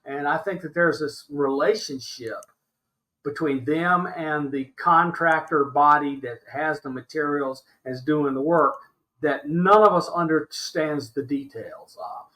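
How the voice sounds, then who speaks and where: A man's voice: on the high side, unhurried, bright, forceful tone, rough recording. An American man in his sixties giving impassioned interview commentary as a podcast guest, emphatic and exasperated, repeating himself to drive points home. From Alabama, United States. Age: 60s.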